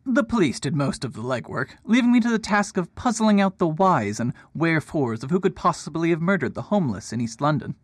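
An actor playing a proud, pompous detective in a radio drama, lofty and defensive in manner. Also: male voice, fairly high pitch, sing-song, fairly quick, few pauses, no echo. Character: proud pompous male detective, middle-aged.

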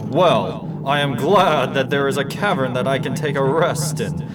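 A man's voice: mid-range voice, measured pace, very noisy, close to an everyday voice. A man playing a tired, stubborn old cab horse in a radio play, talking in a folksy, plain-spoken way. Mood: weary. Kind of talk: amateur radio play acting.

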